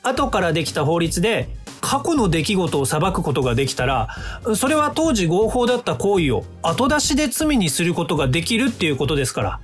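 An actor in a radio drama playing a calm, smug inventor from the future, polite and lecturing in a self-assured way. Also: male voice, high voice, brisk, soft background music. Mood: lecturing, triumphant.

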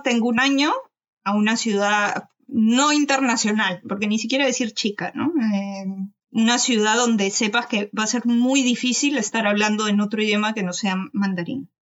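A woman talks in an animated, conversational way. Her voice sits a little high, and the recording sounds slightly dull and lo-fi. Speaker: podcast co-host. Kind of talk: casual panel discussion.

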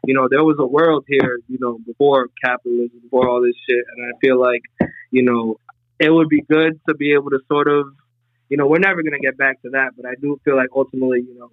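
A man's voice: medium pitch, steady tone, quick pace, no echo. A man in his twenties, a podcast guest, talking casually and rambling with lots of "you know" fillers over a remote line. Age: 20s. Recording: tinny phone-like remote line.

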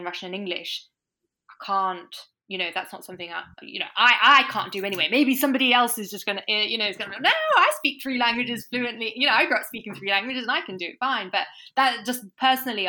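A woman's voice: mid-range voice, expressive, fast, bright, forceful tone. A woman guest in a casual interview, talking thoughtfully with many restarts. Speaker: language course provider, guest.

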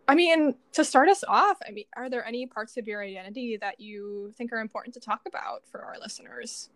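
A woman's voice: on the high side, a little fast, fairly bright tone, dry recording. A young adult woman co-hosting a podcast in casual, reflective conversation. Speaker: podcast co-host, doctoral student in psychology.

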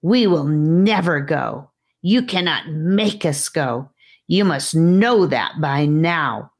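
A woman voicing an evil female snake from a fantasy audiobook, mocking and defiant, with high-pitched taunts. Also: lively intonation, takes it slowly, full character voice.

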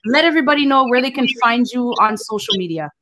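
A woman hosting an interview show, upbeat and quick to laugh, answering her guest with short affirmations. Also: a little high, lively intonation, noisy background. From Guyana and Canada. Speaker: host.

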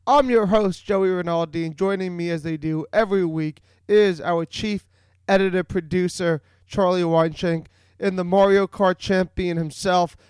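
A man hosting a comedic podcast over a video call, telling rambling, excitable stories with some profanity. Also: sits high, moderate pace, smooth, no room sound. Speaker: podcast host.

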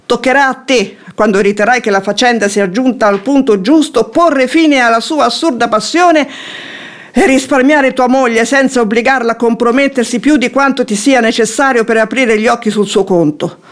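An actress playing a shrewd, composed wife who feigns affection, with formal, eloquent theatrical phrasing in a radio drama. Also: female voice, fairly high pitch, normal speed, natural voice. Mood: firm, scheming. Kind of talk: theatrical radio drama acting of classic comedy.

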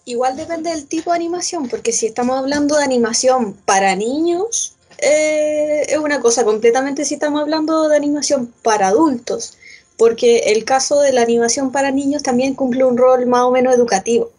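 A woman podcast guest giving a measured, explanatory opinion in a discussion. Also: high-pitched, a little mellow, breathy edge. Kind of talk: thoughtful discussion.

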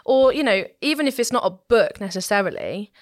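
A woman's voice: mid-range voice, animated, a little fast, no echo, studio quality. A woman speaking conversationally as an interview guest, explaining things in a thoughtful way. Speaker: interview guest, church musician and singer.

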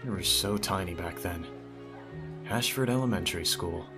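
A man's voice: low-pitched, moderate pace, music in the background, natural voice. A man playing a teasing, smitten teenage childhood friend in an ASMR audio roleplay, talking straight to the listener in a conversational way. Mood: playful, fond.

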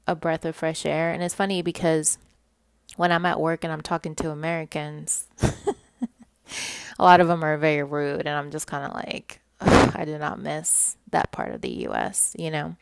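Low gravelly woman podcasting. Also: level tone, normal speed, no echo. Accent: Puerto Rican. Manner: candid, chatty, laughs often. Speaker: podcast host. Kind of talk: casual personal podcast monologue.

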